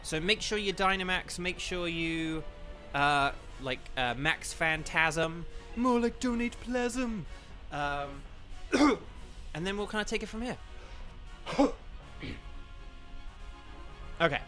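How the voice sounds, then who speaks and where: Casual game streamer, young man, bright and a touch high, chatty and rambling. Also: animated, takes it slowly, long pauses, soft background music. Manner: chatty, rambling with many fillers, reads and answers chat. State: slightly croaky from eating. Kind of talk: casual gaming livestream chat.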